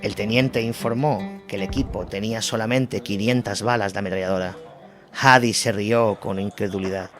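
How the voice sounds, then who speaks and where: A low-pitched male narrator delivers a scripted war chronicle. Music plays clearly behind his voice throughout, as in an audio drama. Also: fairly even intonation, normal speed.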